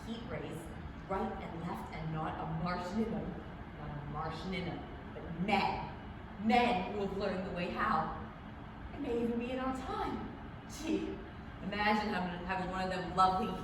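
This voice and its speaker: A woman's voice: mid-range voice, lightly acted. A woman playing a bitter, fanatical male National Guard artilleryman in a staged reading of a radio drama, talking in colloquial, emphatic rants. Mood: fervent, scheming. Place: indoor library event space, filmed live. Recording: echoey public library hall, far mic, noisy.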